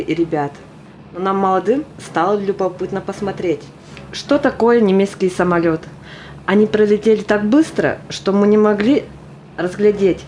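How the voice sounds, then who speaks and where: A woman's voice: mid-range voice, darkish tone, lightly acted. Woman acting an earnest teenage girl war volunteer. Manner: earnest, stubbornly keen. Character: eager, naive seventeen-year-old girl war volunteer. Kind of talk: theatrical audio drama acting and monologue. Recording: music under the voice.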